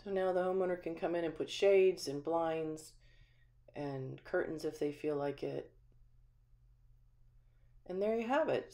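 Woman, low voice, friendly, chatty, filler ums, home tour walkthrough. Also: warm tone. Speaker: manufactured home community manager. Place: empty small house, indoors, then voice-over.

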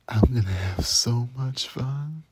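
A man acting a cocky young demon, swooping pitch. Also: darkish tone, slightly rough, no echo, full character voice. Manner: stammering, flustered, informal. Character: brash, cocky young male demon, a teenage son with a soft heart. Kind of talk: ASMR audio roleplay acting.

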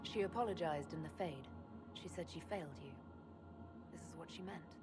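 An actress playing a supportive, composed young woman leader in a video game, calm and direct. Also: fairly low pitch, normal speed, natural voice. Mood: firm, consoling. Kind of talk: video game cutscene acting. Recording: music behind the voice.

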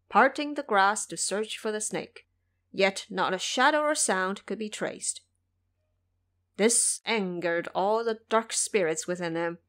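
A woman's voice: on the high side, a bit bright. A woman giving scripted, dramatic narration of a story as the narrator of an audio drama.

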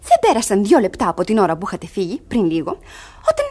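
An actress playing a cunning young ward feigning virtuous innocence, dramatic in a theatrical radio comedy. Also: female voice, mid-range voice, huge pitch swings, a little mellow, slightly rough. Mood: breathless storytelling. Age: young adult.

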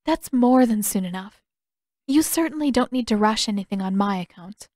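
A woman voicing a polite, dutiful young noblewoman with formal, measured phrasing in an audiobook story. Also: medium pitch, lively intonation, a little mellow, dry recording, clean studio sound, close to an everyday voice. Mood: earnest, gentle.

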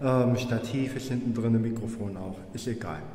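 A young man giving a casual video tour alone, halting and musing aloud as he talks into a handheld phone. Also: mid-range voice, warm tone. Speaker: vlogger filming alone. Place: empty museum rooms and corridors, indoors. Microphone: handheld phone camera. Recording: echoey with long room reverb.

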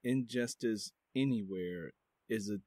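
A man's voice: fairly low pitch, measured pace, darkish tone, no echo. A man hosting an interview podcast, speaking earnestly and repeating phrases for emphasis. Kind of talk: casual interview conversation.

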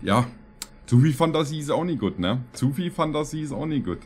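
A man in his thirties hosting a reaction video, commenting casually and jokingly in a halting, deadpan way. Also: fairly high pitch, crowd noise. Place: small indoor streaming room.

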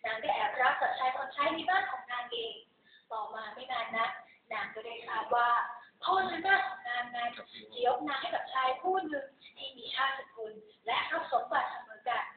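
A woman's voice: sits high, expressive, a little fast. A young female student, in her teens, narrates a moral tale in a scripted, dramatic contest style. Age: teenager. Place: school competition room. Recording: distant, phone-like, echoey hall with noise.

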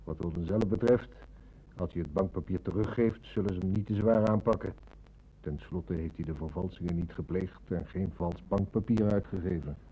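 An actor playing a composed, dry police inspector in a Dutch radio play, measured and authoritative. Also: male voice, sits low, dark tone, a little hiss or hum, lightly acted, poor audio. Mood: dry, matter-of-fact.

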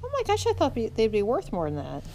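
A woman's voice: a little high, wildly swooping pitch, fast, mellow. A middle-aged woman chatting casually as she looks through items. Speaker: vlogger browsing an auction preview. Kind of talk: casual chat. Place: large bare indoor hall. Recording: noisy room with handling clicks.

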